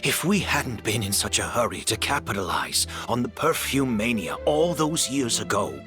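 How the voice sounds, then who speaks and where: A man playing a remorseful middle-aged perfumer in a video game, measured and confessional. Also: mid-range voice, big pitch swings, music in the background, lightly acted.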